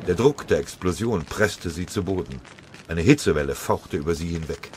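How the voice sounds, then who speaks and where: Male audiobook narrator, low voice, dramatic scripted war narration, light battle noise underneath. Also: lively intonation, normal speed, darkish tone.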